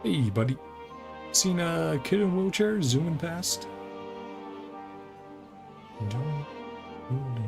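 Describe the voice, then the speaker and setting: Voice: medium pitch, expressive, takes it slowly, gravelly, pauses often. A streamer giving casual game commentary in short, offhand fragments, reading and paraphrasing dialogue along the way. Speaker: streamer playing a video game. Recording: game music behind the voice. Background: video game music.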